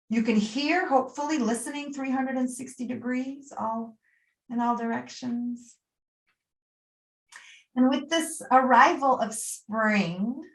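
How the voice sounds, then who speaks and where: A woman leading a guided meditation as a yoga nidra teacher, speaking soothingly and gently in short, unscripted phrases with pauses between them. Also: on the high side, echoey room, recorded from a distance, rough recording.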